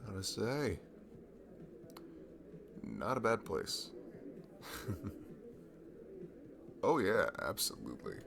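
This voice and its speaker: A man's voice: on the low side, huge pitch swings, very slow, gravelly, pauses often, background noise, full character voice. A man playing a friendly, easily flustered college-age guy in a naturalistic ASMR roleplay, hesitant and self-deprecating. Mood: relaxed, amused. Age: young adult.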